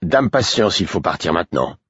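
A man's voice: sits low, very animated, normal speed, a bit bright, slightly rough, no echo, exaggerated voice. A man voicing an exasperated young prison guard, firm and rattled, on a dull lo-fi recording. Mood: stern.

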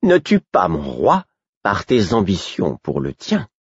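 A man's voice: low voice, wildly swooping pitch, a little slow, slightly rough, no echo, dull audio, exaggerated voice. A man voicing a flamboyant, mocking court jester who speaks in riddles. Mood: sly. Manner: mocking, theatrical.

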